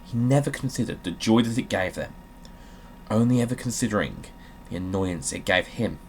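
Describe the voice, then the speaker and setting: A man with a fairly low voice hosts a gaming video in a casual, joking way. He slips between offhand chat and theatrical reading, and there is some noise in the recording. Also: animated, normal speed, a little mellow. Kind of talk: casual game commentary with dramatic readings.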